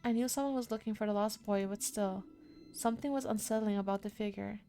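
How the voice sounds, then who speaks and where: A woman's voice: mid-range voice, brisk, warm tone. A woman game streamer reading a horror visual novel aloud, dramatically, with spontaneous asides. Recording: music behind the voice. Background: game music.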